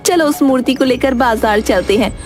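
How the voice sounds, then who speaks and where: A woman's voice: high-pitched, moderate pace, a little mellow, soft background music, close to an everyday voice. A woman playing a cunning, boastful village wife who talks in a sly, pushy, persuasive way. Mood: scheming.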